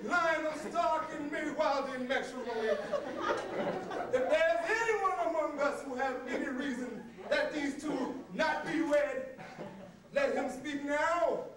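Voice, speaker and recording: Man acting a slow, solemn wedding officiant. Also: very high-pitched, crowd noise. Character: solemn male officiant reading the wedding ceremony. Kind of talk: amateur high school stage acting. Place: theatre stage, camera far off. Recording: distant, echoey stage recording, audience noise.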